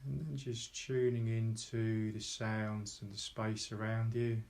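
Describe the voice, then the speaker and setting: A man leading a guided meditation in slow, soft instruction, calm and unhurried, with pauses between short phrases. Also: on the low side.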